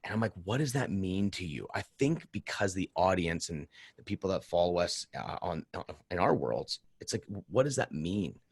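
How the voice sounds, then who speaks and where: A man's voice: sits low, sing-song, moderate pace, creaky, few pauses, breathy edge, no echo, studio quality. A man hosting a podcast in casual interview chat, effusive and rambling, often repeating his words. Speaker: podcast host.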